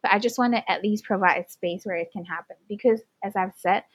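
A woman's voice: medium pitch, a little fast, slightly rough, no echo. Young woman, earnest guest, lots of "like", dry close recording. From Hong Kong. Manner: earnest, many fillers like "you know" and "like". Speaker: guest, community farm organizer. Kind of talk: casual interview conversation.

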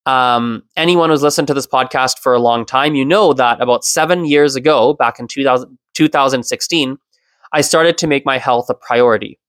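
Man in his thirties, podcast host, reflective, medium pitch, dry close sound. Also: moderate pace, no echo. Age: 30s. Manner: reflective, self-explaining, occasional soft laugh. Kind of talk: solo podcast monologue.

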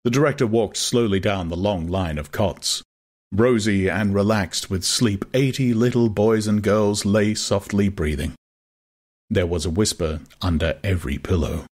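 Low, husky male audiobook narrator. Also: measured pace, a little mellow. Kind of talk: dramatized scripted narration.

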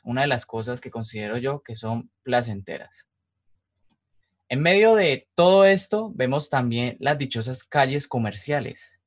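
Colombian man narrating an informal podcast. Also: mid-range voice. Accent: Colombian, from Cali. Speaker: podcast host.

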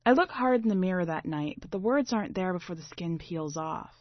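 A woman reading a literary short story aloud in a measured, dramatic way. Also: lowish voice, lively intonation. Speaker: story reader. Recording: slightly dull, boxy roomy recording.

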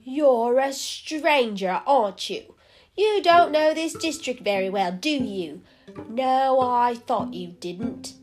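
A woman voicing a huge one-eyed male alley cat who leads a gang, loud, mocking and drawn out, over background music. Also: fairly high pitch, expressive, slow, pitched above the performer's own voice. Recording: storybook reading with background music.

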